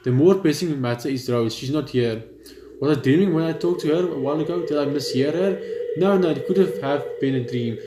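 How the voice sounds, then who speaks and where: A young man plays a visual novel and reads its text out loud. His delivery is informal and stumbles now and then. The room echoes and there is clear noise behind him. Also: fairly high pitch, fairly quick. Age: young adult. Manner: informal, stumbles while reading. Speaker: let's player. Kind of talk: reading visual novel text aloud with casual reactions. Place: indoor room at home. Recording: roomy echo, clear background noise.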